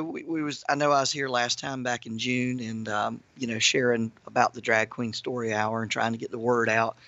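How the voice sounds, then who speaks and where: A hoarse man speaks as a guest on a talk radio show, casual and full of fillers. His voice is gravelly and bright. The audio sounds dry and dull, like a broadcast. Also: medium pitch, no room sound. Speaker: guest, ministry founder. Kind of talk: radio interview.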